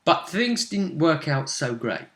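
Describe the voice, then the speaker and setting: Male game master in casual recap chat, lively intonation, bright voice, echoey room. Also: on the high side, measured pace. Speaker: game master recapping a tabletop role-playing session. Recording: roomy, echoey room sound.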